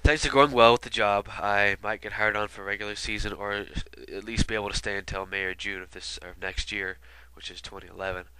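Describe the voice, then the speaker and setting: A man's voice: fairly low pitch, fairly even intonation, a little fast, few pauses, pushed and bright, smooth, no echo, low-quality recording. Stuffy-nosed man rambling casually. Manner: rambling, many fillers and asides. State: sick with a stuffy nose. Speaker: video commentator. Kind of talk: casual album retrospective commentary.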